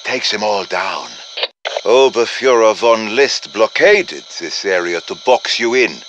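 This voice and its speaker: An actor playing an urgent, commanding professor who briefs soldiers in a deliberate, dramatic manner, as video game voice lines. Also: male voice, fairly low pitch, lively intonation, a bit bright, dull audio, a little hiss or hum. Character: urgent, commanding male professor guiding soldiers against demons. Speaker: game character voice, mission guide.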